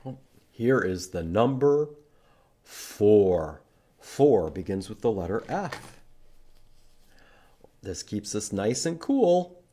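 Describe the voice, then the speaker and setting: A man leads a children's storytime at a slow, unhurried pace. His pitch moves around a great deal as he reads aloud and puts simple questions to young listeners. The delivery is expressive and easy to follow. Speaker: library storytime host reading to children. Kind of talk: children's storytime read-aloud and letter lesson.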